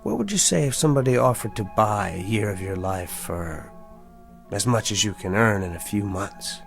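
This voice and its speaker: A smooth-talking schemer in a tv drama, low crackly voice, slow deliberate pitch, faint music behind. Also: male voice, animated. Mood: coaxing, persuasive. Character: calculating, quietly persuasive man bargaining in years of life. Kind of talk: television drama acting.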